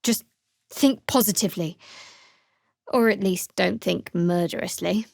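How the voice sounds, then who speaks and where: An actress playing a guarded, devout young half-elf cleric woman in a video game, measured and dryly sardonic. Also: medium pitch, creaky, darkish tone, no room sound, studio quality. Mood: dry, wry. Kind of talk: video game character voice acting.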